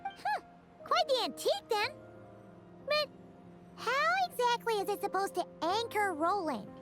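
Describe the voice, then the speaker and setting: Squeaky, pert little fairy companion. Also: female voice, big pitch swings, unhurried, music in the background, exaggerated voice. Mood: sceptical. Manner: pert, indignant, speaks of self in third person. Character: tiny childlike fairy companion, pert, sceptical, excitable. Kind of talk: video game voice acting.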